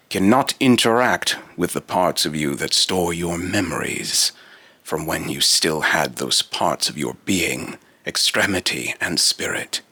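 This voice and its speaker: A man voicing a solemn, otherworldly immortal being in a deep, raspy robotic voice, with grandiose, archaic, halting phrasing in a dramatic monologue. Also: pushed and bright, no echo, exaggerated voice.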